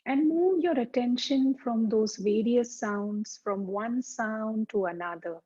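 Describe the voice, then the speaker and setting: Woman doing guided relaxation, really slow and calm, soothing pauses, kinda dull lo-fi audio. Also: on the high side. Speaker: yoga teacher. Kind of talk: guided meditation, slow instruction. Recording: dull, muffled lo-fi sound.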